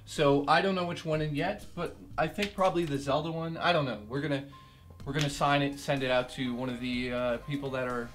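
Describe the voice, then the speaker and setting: A young man with a hoarse, worn voice that keeps cutting out, talking a little higher than most men. He chats bluntly and jokes through a casual update, sitting in a small room with faint music behind him. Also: steady tone, brisk, a bit bright. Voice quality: postnasal cough. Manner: joking, blunt. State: hoarse, losing his voice. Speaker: co-host. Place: small room with game posters.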